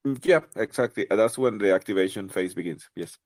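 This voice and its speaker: A man's voice: fairly low pitch, brisk, no room sound. A man from Costa Rica with a Costa Rican Spanish accent, a native Spanish speaker, talking as a podcast guest in a thoughtful, casual way with many fillers and restarts. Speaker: guest, crypto project representative. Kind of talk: casual interview conversation.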